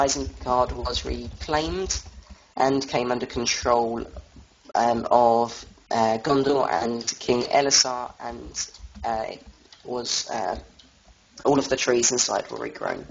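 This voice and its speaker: A young man with a cold giving an informal lore talk as a tour guide, halting and full of fillers. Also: medium pitch, creaky, a little hiss or hum. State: has a cold. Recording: dull lo-fi voice chat audio.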